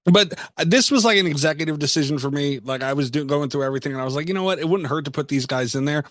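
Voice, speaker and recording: A man's voice: a little high, fast talker, a bit bright, dry recording, clean studio sound. A man co-hosting a podcast, chatting casually in a slangy, opinionated, sweary way. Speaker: podcast co-host. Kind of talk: casual chat.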